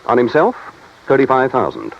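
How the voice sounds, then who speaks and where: A man's voice: mid-range voice, wildly swooping pitch, moderate pace, gravelly, a little hiss or hum. An American actor playing an earnest, businesslike male insurance executive in a 1950s radio drama, explaining things carefully. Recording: muffled vintage radio recording.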